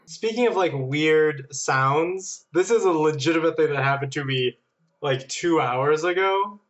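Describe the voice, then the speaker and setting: Man, slightly high pitch, casual storytelling, animated, self-deprecating humor. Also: unhurried, highs cut off. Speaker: podcast guest and editor.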